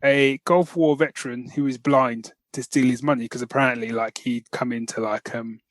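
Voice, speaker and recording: A man co-hosting a podcast, telling stories in an animated, slangy, casual review style. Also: medium pitch, normal speed, no echo. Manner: animated storytelling with slangy asides. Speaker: podcast co-host. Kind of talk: casual chat, film review.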